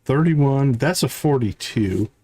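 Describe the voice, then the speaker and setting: A man livestreaming a game, thinking aloud in casual commentary and reading item stats in a deliberate, musing way. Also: medium pitch, animated, darkish tone, husky, dry recording, rough recording.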